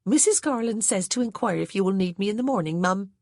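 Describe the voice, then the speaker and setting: A woman's voice: mid-range voice, animated, darkish tone, dry recording, clean studio sound, voice pushed up, natural voice. A woman voicing an elderly country maidservant. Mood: flustered. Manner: brisk, says "aye" and "mum" in a country dialect. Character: elderly female household servant, humble and flustered.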